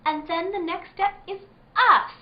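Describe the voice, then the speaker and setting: A woman's voice: extremely high pitch, a little slow. An actress playing a fey, eerie country girl in a spooky radio drama, speaking in dreamy, ominous tones. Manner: dreamy, ominous rustic storytelling. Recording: dull lo-fi audio in echoey room.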